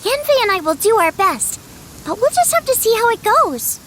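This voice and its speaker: A woman voicing a tiny, cheeky, childlike fairy companion in a video game, chirpy and bossy, with a squeaky voice. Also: very animated, moderate pace, fairly bright tone, slight background noise, heavily acted. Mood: cautioning. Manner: squeaky, chirpy, scolding, speaks of self in third person. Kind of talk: animated video game voice acting.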